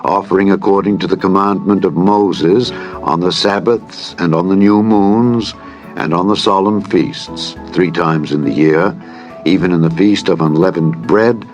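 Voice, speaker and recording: A man narrating scripture in a solemn, measured, formal manner, as a dramatized Bible reading. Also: low-pitched. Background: background music.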